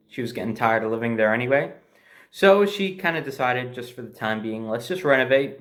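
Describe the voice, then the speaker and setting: A man's voice: mid-range voice, a bit bright. Man telling a casual, rambling personal story. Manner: hesitant, self-correcting, backtracking as he recalls.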